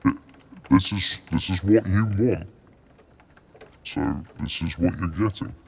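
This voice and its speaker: A man chatting casually to stream viewers in a halting way, with faint noise behind him. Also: sits low, vocal fry, breathy edge. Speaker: live streamer.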